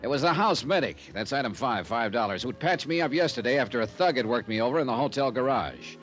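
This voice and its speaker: A man performs a hard-boiled American investigator from a 1950s radio drama. His delivery is wry and clipped, with pitch that moves widely. Music plays clearly behind him. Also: lively intonation, quick pace, fairly bright tone, close to an everyday voice. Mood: dry, urgent. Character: wry, tough American freelance insurance investigator. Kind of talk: 1950s radio detective acting and narration.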